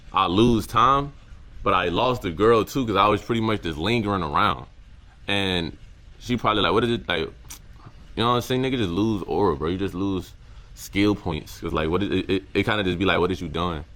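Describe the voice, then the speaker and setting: A young man with a very low, deep voice talks to his camera about what he has learned. His speech is casual and slangy, full of halting restarts and repeated words. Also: a bit bright. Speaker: content creator. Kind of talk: reflective casual vlog monologue.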